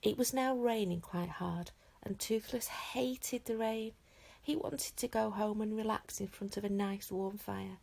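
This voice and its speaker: A woman teacher reading a children's book aloud with expressive storytelling. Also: mid-range voice, measured pace, gravelly. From England.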